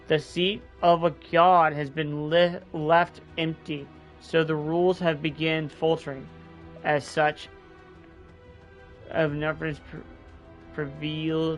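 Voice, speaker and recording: A man's voice: on the high side, a bit bright. A man voicing a weary, guilt-ridden old scholar of gods, reading earnestly with small restarts.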